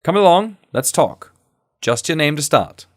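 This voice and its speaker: Man acting a calm, coaxing veteran hero. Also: on the high side, a little slow, voice pushed up. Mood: firm coaxing. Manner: firm, coaxing. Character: calm, coaxing male war veteran, the story's narrator-hero.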